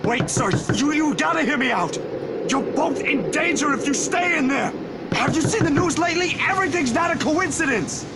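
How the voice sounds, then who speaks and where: An agitated young visual novel hero, acted out by a man, speaks urgently and emphatically in a high voice. The pitch leaps around, and there is loud noise behind it. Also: lively intonation, normal speed. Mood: urgent pleading. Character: determined, agitated young man confronting a hidden spirit.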